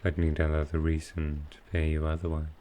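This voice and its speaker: Very deep, mellow male villain, acted out. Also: monotone, fairly quick, a little hiss or hum. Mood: wry, resigned. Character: smooth, flirtatious male supervillain courting his tailor. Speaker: voice actor in audio roleplay. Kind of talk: intimate ASMR roleplay.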